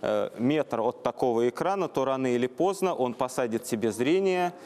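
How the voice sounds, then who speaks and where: A man's voice: medium pitch, animated, moderate pace, a little mellow. A man in his thirties presenting as co-host of a studio talk show, putting questions to guests and audience. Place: TV studio, standing.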